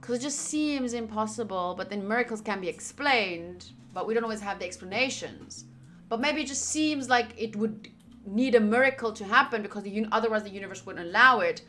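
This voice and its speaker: A woman streamer reading game text aloud and reacting out loud with exclamations as she comments. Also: mid-range voice, a little fast. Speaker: visual novel playthrough streamer.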